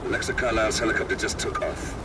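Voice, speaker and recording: An actor voicing a brisk, hardened covert operative with terse, clipped game dialogue. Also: male voice, lowish voice, fairly even intonation, heavy background noise, close to an everyday voice. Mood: alarmed, terse. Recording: very loud music over the voice. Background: very loud music.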